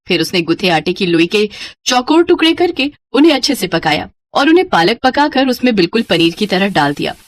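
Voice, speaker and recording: Female narrator for children's tales; animated, expressive scripted delivery, mid-pitched. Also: fairly quick, no echo. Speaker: storyteller.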